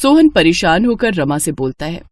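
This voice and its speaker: A woman's voice: on the low side, big pitch swings, moderate pace, dry recording. A woman narrating a scripted moral story as a storyteller.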